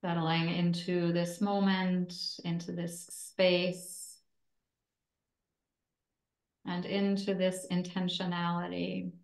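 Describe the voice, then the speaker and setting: A woman instructor leading a guided yoga relaxation in a soothing, gentle, deliberate way with long pauses between phrases. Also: lowish voice, little pitch movement, vocal fry, reverberant.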